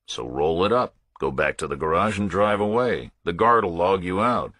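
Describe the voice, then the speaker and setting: A man voicing a stubborn, hard-boiled lone operative in an audiobook, terse, flat and resolute. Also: low-pitched, moderate pace, husky, no room sound, heavily acted. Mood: calm, decisive. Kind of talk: audiobook character dialogue.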